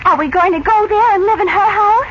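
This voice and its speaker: An American actress playing an eager, inquisitive young niece in a 1940s radio serial, full of girlish, excitable questions. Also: very high-pitched, brisk. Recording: muffled, noisy late-1940s radio recording.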